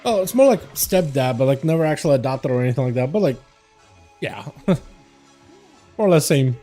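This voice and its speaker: A man's voice: medium pitch, expressive, brisk, mellow, soft background music. A young man with a slight Russian accent, a streamer chatting casually while gaming, rambling and filling his talk with "like". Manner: rambling, chatty, answers chat questions, frequent "like" and laughs.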